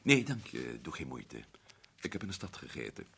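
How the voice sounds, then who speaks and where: A man's voice: rumbling low, huge pitch swings, moderate pace, gravelly, bright, forceful tone, a little hiss or hum, heavily acted. An actor playing a sardonic middle-aged man, back from years of adventure abroad, in an old radio play. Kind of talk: radio drama acting.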